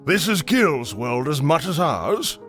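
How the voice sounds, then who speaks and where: A man voicing a kindly, idealistic older scientist in a comic dub, with measured, scholarly and formal phrasing. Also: fairly high pitch, sing-song, fairly bright tone, heavily acted. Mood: earnest. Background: prominent music.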